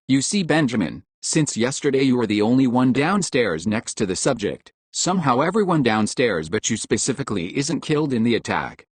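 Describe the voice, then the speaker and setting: A man's voice: medium pitch, very animated, moderate pace, breathy edge, no echo. A man playing a suspicious, confrontational lab employee in an amateur audio drama, questioning in a pointed, insistent way. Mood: suspicious, probing. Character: accusing male lab employee named Zachary, confrontational, suspicious.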